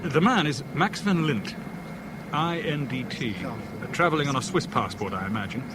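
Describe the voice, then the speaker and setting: A polite, guarded British gentleman traveller, acted by a man in a 1970s radio play. He speaks formally and a little hesitantly, in a somewhat high voice with lively rises and falls. Clear noise runs behind the old broadcast. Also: normal speed, lightly acted. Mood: tentative, courteous. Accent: British English. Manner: courteous, formal, slightly hesitant. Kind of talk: 1970s BBC radio drama acting.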